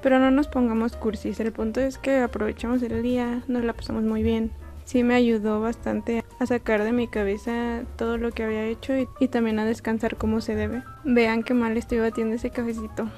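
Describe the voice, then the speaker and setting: A woman's voice: a little high, level tone, moderate pace, warm tone, faint music behind. A young woman, casually narrating her painting process in a chatty, self-deprecating voice-over.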